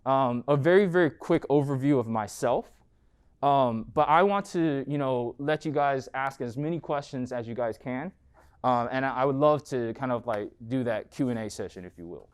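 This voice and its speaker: The smooth-voiced American guy at the front of a college classroom, chatting students through how he runs his business. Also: medium pitch, moderate pace. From United States. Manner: conversational, peppered with "like" and "right?". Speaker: entrepreneur giving a guest lecture. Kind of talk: informal talk and Q&A.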